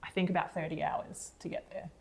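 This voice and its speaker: Chatty woman, low voice. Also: level tone, a little fast, a little mellow. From Australia. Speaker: podcast co-host. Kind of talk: casual chat.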